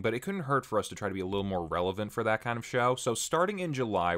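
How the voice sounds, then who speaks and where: A man with a somewhat low voice speaks as the host of an online channel. His pitch moves around a lot, giving the delivery an animated swing. He addresses viewers in an informal, prepared update style, dropping in wry asides. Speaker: channel host and video creator. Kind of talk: pre-recorded channel update, casual scripted address to viewers.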